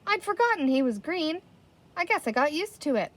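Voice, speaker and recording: A woman reading a children's storybook, voicing an excitable, boisterous little girl with a breathless, exclaiming manner. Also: high voice, normal speed, pitched above the performer's own voice. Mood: excited, surprised.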